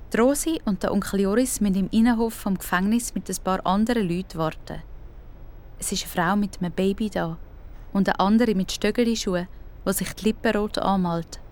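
Schweizer Erzählerin einer Kindergeschichte. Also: female voice, mid-range voice, darkish tone. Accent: Swiss German. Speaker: storyteller. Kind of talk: scripted narration of a children's audio play.

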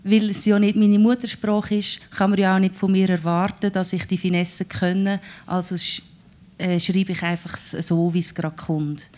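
A woman's voice: medium pitch, little pitch movement, normal speed, a little mellow. A singer-songwriter woman with a Swiss German accent, talking thoughtfully about her songwriting in an interview. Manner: thoughtful, searching for words. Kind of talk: casual radio interview talk.

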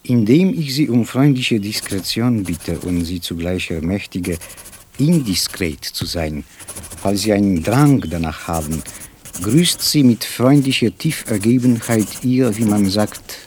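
An actor playing an eccentric, self-satisfied and courteous poet, reciting formally and deliberately in a theatrical style. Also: male voice, on the low side, animated, a little mellow, slight background noise. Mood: ceremonious. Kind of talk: theatrical literary recitation with music. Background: musical instruments.

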